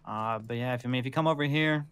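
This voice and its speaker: A man's voice: mid-range voice, fast talker, fairly bright tone, clean tone, lightly acted. A man improvising a weary, reluctant zombie survivor in a game roleplay, with a hesitant, sighing delivery. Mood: matter-of-fact. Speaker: player in a Minecraft roleplay.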